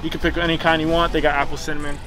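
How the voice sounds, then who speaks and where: A man's voice: on the high side, little pitch movement, rapid-fire. A man in his early twenties chatting upbeat to viewers while shopping in a grocery store. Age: 20s. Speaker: fitness vlogger. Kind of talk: casual vlog while shopping.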